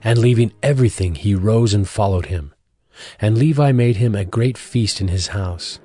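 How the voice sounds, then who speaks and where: Casting: male narrator, slightly low voice, scripted scripture storytelling, music bed. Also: moderate pace, mellow, husky. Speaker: Bible narrator.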